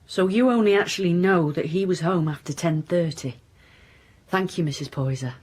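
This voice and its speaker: A woman's voice: fairly low pitch, normal speed, a little mellow, close to an everyday voice. An actress playing a dry, matter-of-fact female police inspector in a naturalistic radio drama. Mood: firm, polite.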